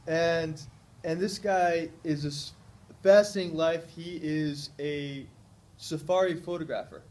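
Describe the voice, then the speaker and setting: A man's voice: on the high side, a little slow. A man telling a story to friends in casual chat, acting out the people he quotes and often restarting his sentences. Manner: animated, acts out quoted voices, restarts often.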